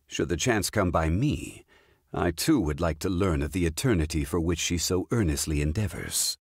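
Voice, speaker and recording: Very deep, husky, composed ancient male god for a game, dry studio read. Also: lively intonation, normal speed, no room sound, full character voice. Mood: solemn. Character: stately ancient male god, calm and formal. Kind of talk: game character voice line.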